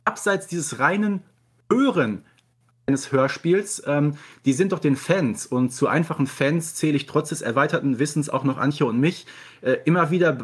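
A man's voice: mid-range voice, husky. A man talking casually and at length as a podcast guest, in an earnest, wordy manner. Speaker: podcast guest, fan podcast co-host. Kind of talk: casual interview chat.

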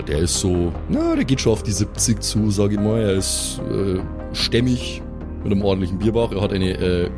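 A man, improvising as game master in a colloquial, descriptive way. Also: fairly low pitch. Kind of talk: improvised tabletop game narration.

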